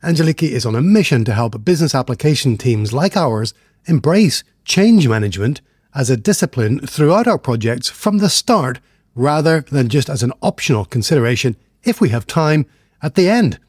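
A man's voice: medium pitch, very animated, moderate pace, a little mellow, breathy edge, no echo, clean studio sound. A man hosting a tech podcast, reading a friendly scripted intro and then asking conversational questions. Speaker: podcast host. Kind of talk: podcast interview.

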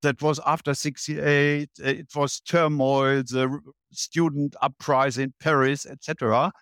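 A man in his seventies, a guest in an interview, laying out his points one by one in a measured way. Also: medium pitch, level tone, fairly bright tone, smooth, no echo, studio quality. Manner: measured, listing points in order. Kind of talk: interview answers.

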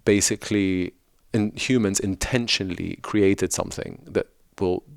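A man's voice: low voice, fairly even intonation, measured pace, gravelly, dry recording, clean studio sound. A middle-aged man from of Lebanese background, talking earnestly as a spiritual mentor on a podcast, often restarting his sentences. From Lebanese, grew up in London. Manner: thoughtful, earnest, frequent restarts. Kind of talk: reflective podcast conversation.

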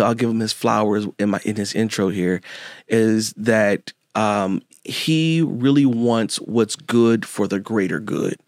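A man's voice: on the low side, dry recording, clean studio sound. A man hosting an interview show, encouraging and chatty, often repeating himself with fillers. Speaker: host. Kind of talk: casual interview chat.

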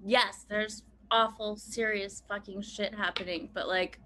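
A woman's voice: medium pitch, steady tone, measured pace, bright, forceful tone, a little hiss or hum, rough recording. A woman in her thirties from Pennsylvania, a podcast guest talking casually with many "like" fillers and easy laughter. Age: 30s. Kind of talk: casual conversation.

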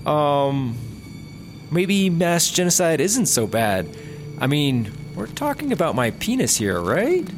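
A man's voice: a little high, sing-song, slow pace, over music, exaggerated voice. A man playing a hesitant, easily swayed, sheepish squad-car partner in a comic audio drama. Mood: nervous.

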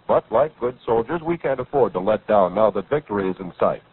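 Tinny vintage radio announcer, brisk man. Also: fairly low pitch, lively intonation, slightly rough. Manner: rousing, formal address. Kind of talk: 1940s radio sponsor announcement.

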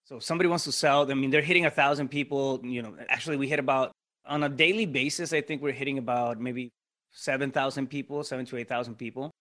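A man's voice: mid-range voice, steady tone, quick pace, sharp tone. A man with a Mexican Spanish accent, a native Spanish speaker, talking as a podcast guest and explaining his business step by step with frequent tag questions. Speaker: podcast guest, real estate investor. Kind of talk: casual interview talk.

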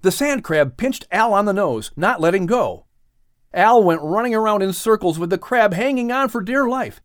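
A man narrating a scripted children's story as an audiobook reader, with expressive delivery. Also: high-pitched, moderate pace, dry recording, clean studio sound.

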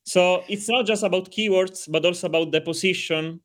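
A man's voice: sits high, brisk, fairly bright tone. A man from Sicily, a native Italian speaker with an Italian accent, explaining things conversationally as a panel guest. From Sicily, southern Italy. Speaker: podcast panel guest, content specialist.

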